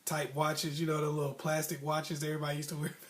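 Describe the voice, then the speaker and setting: A man's voice: on the high side, quick pace. An American man telling a personal story casually, in slangy speech, acting out bits of dialogue as he goes. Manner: animated slangy talk, acting out childhood dialogue. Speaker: storyteller host.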